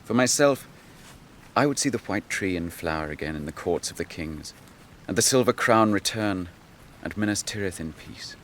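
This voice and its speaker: A solemn young captain from a fantasy radio play. His low voice swings widely as he speaks in formal, measured, old-world phrases. The recording has clear noise and rumble behind him. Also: lively intonation, fairly bright tone. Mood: solemn, resolute. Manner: measured, formal, archaic speech. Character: grave, noble young captain of Gondor, grieving his brother. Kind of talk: fantasy radio drama acting.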